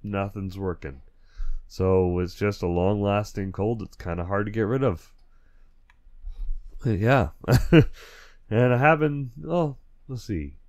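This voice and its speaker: A lower-pitched man hosting a laid-back solo podcast. He has a cold, so his throat sounds dry and stuffy. He rambles, corrects himself mid-sentence and chuckles now and then. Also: slow pace, pauses often, a little mellow. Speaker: podcast host. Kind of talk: casual solo podcast chat.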